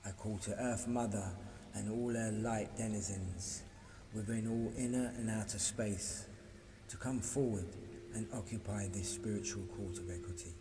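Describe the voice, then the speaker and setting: Low-voiced man in long reverb. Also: steady tone, warm tone. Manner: measured, declarative, ceremonial. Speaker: reader of a spiritual decree. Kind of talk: solemn scripted spiritual invocation reading. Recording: long reverb, clearly noisy ambient background.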